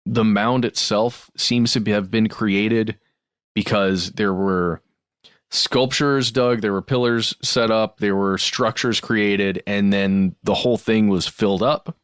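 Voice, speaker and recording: A man with a fairly low voice chats as a podcast co-host. His delivery is casual and conversational, and the sound is dry, with hardly any room in it. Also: fairly even intonation, slow, smooth, dry recording.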